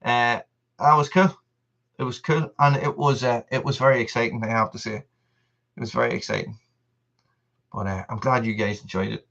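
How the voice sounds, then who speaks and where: A man's voice: mid-range voice, steady tone, moderate pace, vocal fry, long pauses, a bit bright, dull audio. A man, hosting a casual live stream and chatting to viewers. He rambles, repeats himself and laughs often. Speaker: channel host on a live stream.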